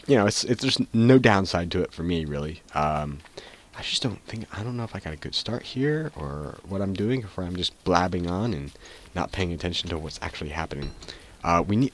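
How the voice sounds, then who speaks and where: A man with a very deep voice sounds stuffed up and nasal from a cold. He rambles through casual gameplay commentary, full of ums and false starts. Faint noise sits under the recording. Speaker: gaming channel host.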